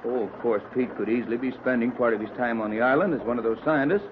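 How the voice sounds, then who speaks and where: An American man playing a calm, wry, fatherly boat captain in an old-time radio adventure, with an easygoing, reassuring manner. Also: mid-range voice, fast talker, close to an everyday voice. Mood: wry, thoughtful. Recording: tinny, noisy old radio recording.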